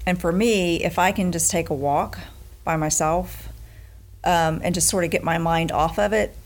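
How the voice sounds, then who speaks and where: A woman with a lowish, gravelly voice speaks calmly and thoughtfully. She backs up her fellow author in a relaxed conversation. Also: moderate pace, slight background noise. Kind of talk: casual interview chat.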